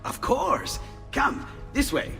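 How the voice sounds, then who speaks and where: An actor playing an excitable, friendly inventor in a video game, animated and courteous, with an Italian accent. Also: male voice, takes it slowly, soft background music. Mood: welcoming, flustered. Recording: long hall reverb.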